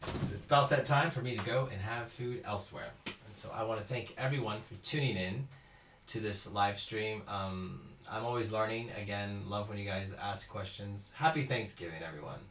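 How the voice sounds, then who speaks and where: A man in his thirties from Houston, Texas, teaching cello and chatting his way through a live-stream Q&A. His voice sits a little low, and he talks loosely, answering viewers and laughing easily. The small home room gives the sound a noticeable echo. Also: normal speed, fairly bright tone, slight background noise. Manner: chatty, reads out viewer comments, laughs often. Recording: roomy echo.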